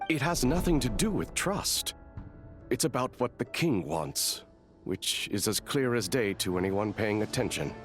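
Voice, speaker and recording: An actor playing a smug, pragmatic royal chief mage in a video game, speaking in a diplomatic, self-justifying manner. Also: male voice, medium pitch, lively intonation, measured pace, soft background music, natural voice. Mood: insistent. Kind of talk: dramatic video game voice acting.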